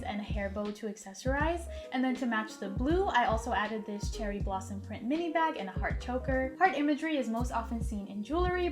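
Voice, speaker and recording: Soft-spoken young woman with music behind. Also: fairly high pitch. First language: Georgian. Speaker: fashion YouTuber. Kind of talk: scripted style-guide narration. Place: bright room by a curtained window. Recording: clear music under voice.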